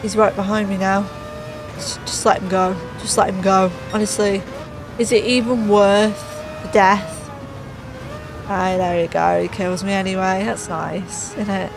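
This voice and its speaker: Slow, sarcastic woman losing her voice. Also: medium pitch, little pitch movement. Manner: sarcastic, exasperated. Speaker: gaming channel host. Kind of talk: racing game voice-over commentary. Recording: voice over clear background music.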